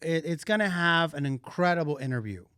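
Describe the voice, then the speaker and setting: A man co-hosting a soccer podcast in casual chat, excitable and repetitive, dropping Spanish words into his English. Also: on the high side, brisk, clear, resonant tone, no room sound, studio quality. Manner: excitable, repeats himself, mixes Spanish into English. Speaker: podcast co-host.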